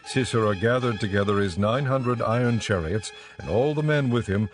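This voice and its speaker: A man's voice: lowish voice, normal speed, soft background music. A man reading as the narrator of a scripted, dramatized scripture audiobook.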